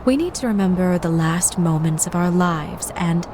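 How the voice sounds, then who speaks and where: An actress playing a polite clinical guide in a video game, giving formal, measured, soothing instructions. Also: female voice, lowish voice, lively intonation, a little mellow, natural voice. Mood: calm, clinical. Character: polite clinical female guide running a memory synchronization test. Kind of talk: scripted game dialogue, procedural instructions. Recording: clear vehicle and ambient noise behind.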